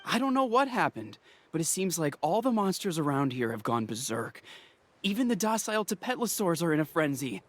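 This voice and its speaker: A man voicing a worried delivery man and father who rambles and trails off, confused, over soft game music. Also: fairly high pitch, very animated, fairly quick, slight background noise. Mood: uneasy.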